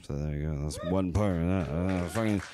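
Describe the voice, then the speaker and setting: A man in his thirties performing at an open mic, rambling and self-deprecating between slow, deliberate poem readings into a stand mic on a small indoor stage. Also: sits low, dark tone, a little hiss or hum. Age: 30s. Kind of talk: spoken word poetry reading. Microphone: stand mic, reading from phone.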